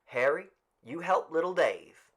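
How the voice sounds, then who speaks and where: Man voicing a loud, brisk village official. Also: on the high side, expressive, husky, voice pushed up, exaggerated voice. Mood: brisk, commanding. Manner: commanding, formal, loud announcing. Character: brisk, formal village official running a lottery.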